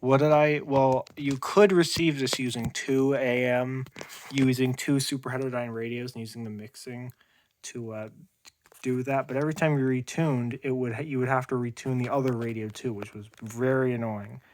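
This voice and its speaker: Man, amateur radio hobbyist, medium pitch, unscripted demonstration, halting delivery, frequent rephrasing. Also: steady tone, a little mellow. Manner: halting, repeats and rephrases himself. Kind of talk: unscripted show-and-tell demonstration.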